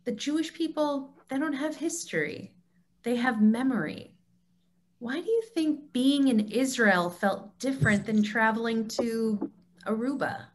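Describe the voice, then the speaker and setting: A woman acting as an assured, wise mentor with a fairly high voice. She teaches with deliberate emphasis in a staged dialogue read over a video call. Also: a little hiss or hum, close to an everyday voice. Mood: earnest, teaching.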